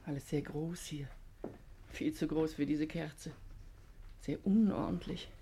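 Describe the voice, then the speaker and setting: An actress playing a weary, practical wife in a 1950s German radio play, measured and gently dry. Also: female voice, deep voice, steady tone. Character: weary, practical wife settling into a strange house. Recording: faint noise, 1950s radio recording.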